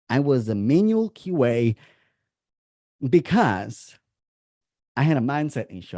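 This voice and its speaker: Bright-voiced Russian-accented man coaching online. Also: medium pitch, no echo, studio quality. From Moscow, Russia. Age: 30s. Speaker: business coach hosting a masterclass. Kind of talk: informal online presentation.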